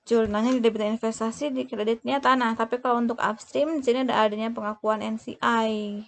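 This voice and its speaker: A woman explaining worked examples as a lecturer, in a halting way, often breaking off to correct herself. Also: a little high, steady tone, vocal fry. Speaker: accounting lecturer.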